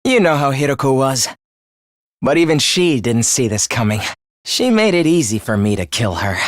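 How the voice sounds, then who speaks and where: A man's voice: a little high, very animated, moderate pace, dry recording, studio quality. A man playing a young student in a video game, earnest on the surface and a cold, misanthropic killer beneath, theatrical and articulate. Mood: cold, calm confession. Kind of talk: dramatic anime-style video game voice acting.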